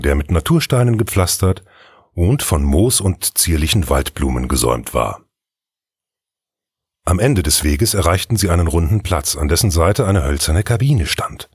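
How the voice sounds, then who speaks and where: Male fairy tale narrator, husky and expressive, recorded very dry and close. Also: low voice, dry recording. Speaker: storyteller, narrator.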